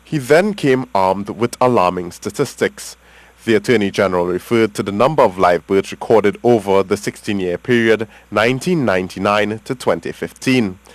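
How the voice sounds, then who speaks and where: A man's voice: lowish voice, no echo, low-quality recording. A man with a Trinidadian accent narrating a scripted television news report.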